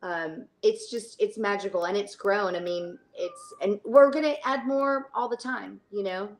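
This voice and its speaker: Woman, middle-aged, studio owner being interviewed. Medium pitch, casual, chatty, stop-and-start delivery that drifts off on tangents. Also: rough recording. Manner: chatty, halting, trails into tangents.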